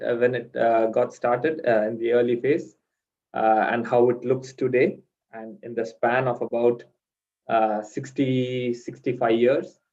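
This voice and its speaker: A man's voice: medium pitch, dark tone. Man giving a hesitant online talk. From India. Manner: hesitant, many fillers and restarts. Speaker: guest speaker. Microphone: video call. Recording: dull lo-fi video call audio.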